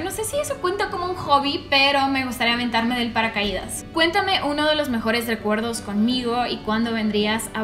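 A young woman with a Russian accent chats to camera in a lively, casual way, her high voice bouncing off the walls of a small echoey room. Faint music sits underneath her speech. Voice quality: animated, gestures widely with her hands. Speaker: vlogger. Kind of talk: casual Q&A vlog.

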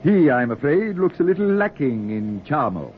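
A fed-up middle-aged husband in a 1940s radio play, swooping through dry, theatrical witticisms. The old recording is tinny, with faint noise. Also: male voice, a little high, big pitch swings, moderate pace. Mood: wry. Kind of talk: 1940s radio drama acting.

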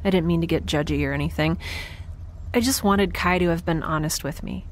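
A woman's voice: low voice, a little fast, close to an everyday voice. A woman playing a chatty, self-doubting young spacefarer in an audio drama, with a casual, hesitant delivery. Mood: awkward, sincere. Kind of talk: naturalistic audio drama acting. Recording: faint background noise.

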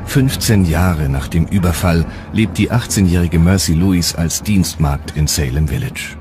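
A man's voice: low voice, moderate pace. A man reading scripted documentary narration as the narrator. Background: music.

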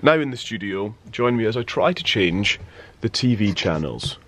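A man's voice: big pitch swings, moderate pace, a bit bright. A man in his twenties, a radio presenter, chatting casually to camera with wry, understated asides. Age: 20s. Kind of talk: casual vlog chat to camera. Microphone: handheld vlog camera. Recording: noisy handheld camera audio, background rumble.

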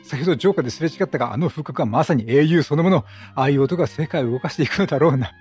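Man reading as a husky admiring soldier. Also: on the high side, very fast, darkish tone, faint music behind. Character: admiring castle soldier, solemn and wistful. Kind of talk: reading game dialogue aloud in character.

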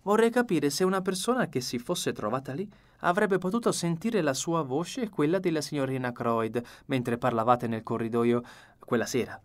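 A man's voice: on the high side, normal speed, lightly acted. A man voicing a fussy, methodical little foreign detective in an audiobook, with theatrical courtesy and precision. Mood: courteous, eager. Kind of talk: audiobook character reading.

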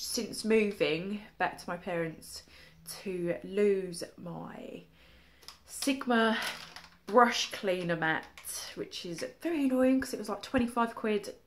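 A young woman with a cold, chatting casually to her phone camera in her living room, rambling with lots of ums and likes. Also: mid-range voice. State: congested with a cold. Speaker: makeup vlogger. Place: home living room, indoors. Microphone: phone held at arm's length.

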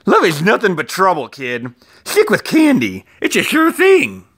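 A storyteller voicing a bossy, scornful paper Valentine heart in a loud, dramatic storybook style. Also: mid-range voice, huge pitch swings, a little slow, no echo, studio quality, pitched above the performer's own voice, full character voice. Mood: bossy, dismissive.

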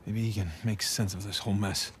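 A man's voice: on the low side, level tone, a little fast, a bit bright, a little hiss or hum, natural voice. An American man playing a wry, restless young treasure hunter in a video game, talking casually and often muttering to himself. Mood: earnest, hurried. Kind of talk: naturalistic video game acting. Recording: game ambience behind the voice.

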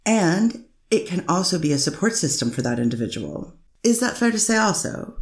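A lower-voiced woman attorney questions a witness in an echoey courtroom. She builds her case with leading questions and tag endings that push for agreement. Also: very animated, normal speed. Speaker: defense attorney. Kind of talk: courtroom cross-examination.

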